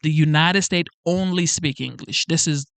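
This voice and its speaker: A man's voice: fairly high pitch, normal speed, creaky, a bit bright, dry recording. Emphatic man's dry pep talk. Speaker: channel host. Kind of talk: motivational livestream talk to camera. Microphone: facing a camera.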